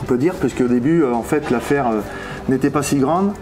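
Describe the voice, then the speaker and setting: A man's voice: medium pitch, a little fast. A man in his fifties explaining things casually in an interview as a business owner, often hesitating and searching for words. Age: 50s. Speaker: hotel and bar owner. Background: music.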